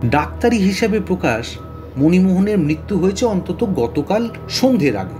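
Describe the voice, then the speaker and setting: An earnest, puzzled police inspector in an audio drama. His voice is high and mellow, swinging widely as he stresses his words. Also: sing-song, normal speed, soft background music. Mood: reporting.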